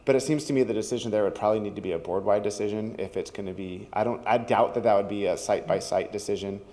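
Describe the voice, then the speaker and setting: A man speaking loudly and rambling at public comment in a city meeting, as a teacher and parent. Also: lowish voice, fast talker. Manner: loud, rambling, with restarts. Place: community hall meeting room.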